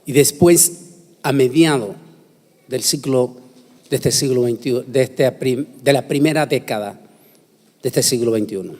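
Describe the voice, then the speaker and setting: A male preacher delivers a conference sermon with solemn weight and deliberate emphasis. His mid-pitched voice rings through a large hall with long reverb, phrase by phrase, like a formal lecture on scripture. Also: darkish tone. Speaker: preacher giving a conference talk. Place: large hall, speaking to a congregation.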